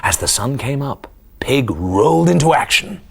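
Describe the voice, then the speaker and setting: A man in his forties reading a children's bedtime story expressively, with dramatic, hushed emphasis. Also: mid-range voice, very animated, moderate pace, creaky, slightly rough. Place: small TV studio kitchen set, indoors. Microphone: lapel mic.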